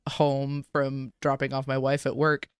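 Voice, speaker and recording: A man co-hosting a podcast, chatting casually and recapping in a smooth, clear voice. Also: mid-range voice, completely flat delivery, unhurried, no echo, clean studio sound. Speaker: podcast co-host. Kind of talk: casual chat with recap narration.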